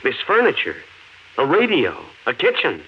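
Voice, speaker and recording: Level-headed inquisitive hero, highly varied intonation, earnest, deliberate, muffled 1950s radio sound. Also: male voice, huge pitch swings, slight background noise. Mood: pondering. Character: level-headed, inquisitive man trapped underground. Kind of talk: 1950s radio drama acting.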